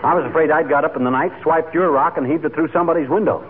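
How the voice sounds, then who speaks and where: An actor playing a blustery, wisecracking, sheepish husband with a folksy, stammering manner in an old radio comedy. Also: male voice, fairly high pitch, racing, close to an everyday voice. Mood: sheepish, brisk. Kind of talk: 1940s radio comedy acting. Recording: tinny, noisy old radio recording.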